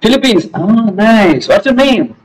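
A young man with a Malayali accent jokes his way through a video chat from a small room, hesitating with fillers between teasing questions. His voice sits a little high and jumps around a lot in pitch, caught on a wired earphone mic. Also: very animated, unhurried, poor audio. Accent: Malayali English. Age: young adult.

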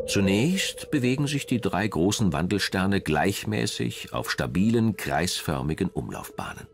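A man narrates a scripted documentary in a low voice. His intonation rises and falls in a lively way while he explains in a measured manner. Music plays underneath. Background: background music.

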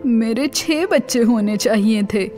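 A woman voicing a gentle, patient mother duck, calm and motherly, in a children's storybook tale. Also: fairly high pitch, faint music behind, lightly acted. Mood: tender, calm.

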